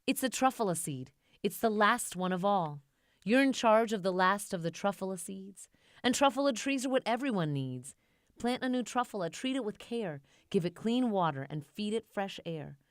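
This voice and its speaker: A woman narrates a children's storybook in rhyme with expressive delivery. Her voice sits at a medium pitch, and the recording is dry and close. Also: no room sound. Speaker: storybook reader.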